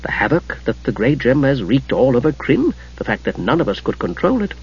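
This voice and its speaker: A man voicing a quiet, thoughtful, scholarly god in a fantasy audiobook. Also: a little high, huge pitch swings, moderate pace, creaky, breathy edge, recorded from a distance, lo-fi sound, exaggerated voice. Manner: soft, thoughtful.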